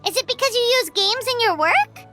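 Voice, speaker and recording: An actress voicing a tiny, excitable fairy companion in an anime game, with a squeaky childlike voice and cute, curious manner. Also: female voice, fairly quick, over loud music. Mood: cheerful, curious.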